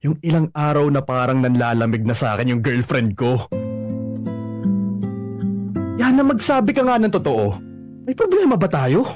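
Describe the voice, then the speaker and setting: An actor playing a jealous, anxious young boyfriend in a radio drama, pleading and rising in pitch when upset. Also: male voice, sing-song, normal speed, pauses often, lightly acted. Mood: suspicious. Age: young adult. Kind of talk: Filipino radio drama acting. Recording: music bed under the voice.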